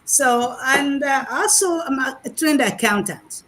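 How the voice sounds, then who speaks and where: A woman in her sixties with a Nigerian accent, giving a chatty, halting speech over a video call at an online graduation. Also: high-pitched, slight background noise. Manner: chatty and animated, restarts and repeats words, hesitant fillers. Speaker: graduating program participant, retired math lecturer.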